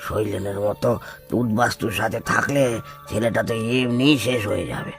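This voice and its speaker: A man playing a frail, grumbling but caring old village grandfather in a cartoon story, with halting, questioning speech and a creaky voice. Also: on the low side, over music. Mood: protective, insistent. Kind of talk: Bengali cartoon story acting.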